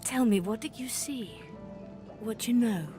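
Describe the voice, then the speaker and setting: Woman as a sardonic, higher-pitched sorceress mentor. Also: unhurried, dark tone, natural voice. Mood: impatient, curious. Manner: sardonic, impatient, dramatic. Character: world-weary, battle-worn female sorcerer mentor, sharp-tongued. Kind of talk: fantasy video game voice acting. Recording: music behind the voice. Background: music.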